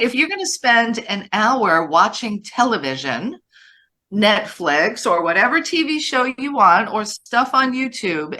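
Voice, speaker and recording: Bright-voiced woman in her sixties hosting. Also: medium pitch. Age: 60s. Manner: upbeat, chatty, with restarts. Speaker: host. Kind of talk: live webinar hosting, taking questions.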